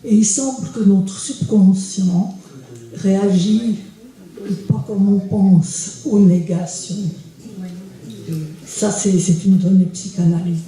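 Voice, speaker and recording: An older audience member speaking slowly and earnestly. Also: mid-range voice, steady tone, dark tone. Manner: slow, earnest. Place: attic lecture hall in a school. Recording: very echoey hall from far off.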